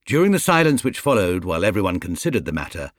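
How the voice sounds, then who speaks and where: A British man narrates a storybook in a low voice that moves freely up and down. The recording is dry and close, with the measured delivery of scripted audiobook reading. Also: big pitch swings, no echo.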